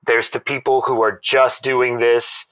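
A man playing an earnest, self-important radio show caller in an audio drama, talking casually and haltingly. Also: mid-range voice, flat delivery, fairly bright tone, dry recording, natural voice, low-quality recording. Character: self-serious, devoted male sign spinner calling radio show. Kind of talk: semi-improvised audio drama, casual phone-in chat.

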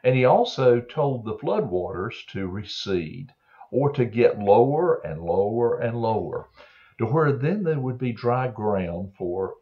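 An older man preaching a Bible story to children in a slow, earnest way, with many fillers and throat clearing, recorded on a webcam in a home office. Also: on the low side. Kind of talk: gentle storytelling sermon for children. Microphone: webcam on a desk. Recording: dull lo-fi webcam audio.

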